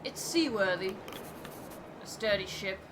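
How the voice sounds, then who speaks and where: A woman voicing a thoughtful, wary first mate who narrates a ship's log in a measured, literary way for a game. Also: medium pitch, animated, noisy background, lightly acted. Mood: reflective, wary.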